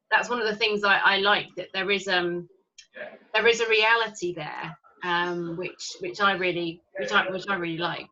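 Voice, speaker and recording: Female host, medium pitch, very bright tone. Agreeable, casual, restarts often. Slightly lo-fi recording. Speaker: interview host. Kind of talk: casual interview chat.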